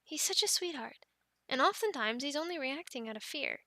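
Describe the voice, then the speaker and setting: A woman's voice: high-pitched, animated, normal speed, sharp tone, dry recording, full character voice. A woman playing a cheerful young Viking dragon keeper in an ASMR roleplay, chatting affectionately as she coaxes and explains. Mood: chatty, explaining. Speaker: amateur audio roleplay performer. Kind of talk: ASMR roleplay acting, speaking to the listener.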